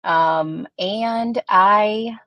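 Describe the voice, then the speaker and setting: A woman from Puerto Rico, a guest on a podcast, answering questions in a measured, explanatory way. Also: mid-range voice, no room sound, muffled recording. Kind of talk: conversational interview answers.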